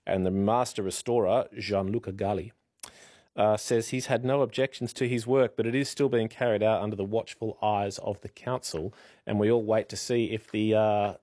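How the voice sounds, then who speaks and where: A man's voice: fairly low pitch, steady tone, moderate pace, mellow, no room sound, clean studio sound. A man presenting news on radio, telling a light story playfully with many ums. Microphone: studio microphone.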